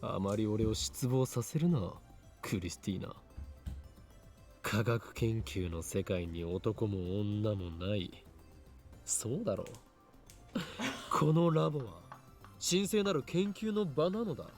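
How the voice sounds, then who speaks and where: A man's voice: lowish voice, moderate pace, pauses often, a little mellow, soft background music, lightly acted. An actor playing a haughty, theatrical young man, a self-styled scientist in a visual novel, speaking in a pompous, scolding, grandiose manner. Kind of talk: Japanese visual novel voice acting.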